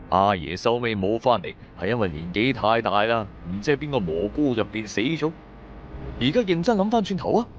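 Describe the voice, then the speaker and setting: A man with a Cantonese accent narrating a dramatised first-person story in a theatrical, colloquial way. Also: mid-range voice. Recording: background music under the voice.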